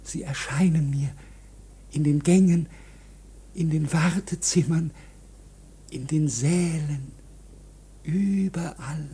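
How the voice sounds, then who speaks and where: A man's voice: fairly high pitch, slow pace, long pauses, mellow, husky, heavily acted. A man playing a timid, obsessive litigant in a radio play, furtive and pleading, often dropping to whispers. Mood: confiding, haunted. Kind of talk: German radio play acting.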